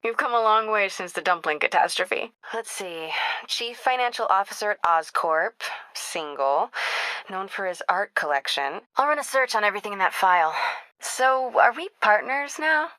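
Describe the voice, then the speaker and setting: An actress with an American accent playing a caring, determined young reporter in a video game, speaking in an earnest, conversational, confiding way. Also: female voice, mid-range voice, expressive, normal speed, bright, forceful tone, no room sound. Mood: light, warm. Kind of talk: naturalistic video game acting.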